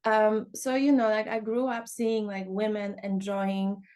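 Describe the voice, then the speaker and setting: A woman's voice: mid-range voice, flat delivery, measured pace, darkish tone. A young woman from Poland, a podcast guest talking casually about teaching dance. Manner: chatty, many fillers like "you know".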